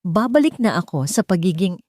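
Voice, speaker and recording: A woman's voice: lowish voice, big pitch swings, a little fast, a little mellow, no echo, studio quality. A woman voicing a grateful, humble housemaid mother in a story, deferential and emotional. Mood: anxious, humble.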